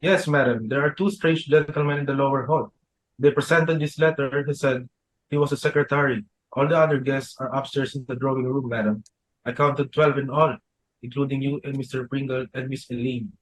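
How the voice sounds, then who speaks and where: A man reading the part of a deferential butler in a student dramatic reading, speaking in a measured, formal way. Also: medium pitch, steady tone, dry recording, close to an everyday voice, rough recording. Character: polite butler Dunham, adult man. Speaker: student reader.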